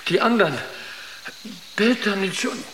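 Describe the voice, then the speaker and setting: A man's voice: high voice, measured pace, background noise. A man playing a weary, anxious spaceship crewman in a German sci-fi radio drama.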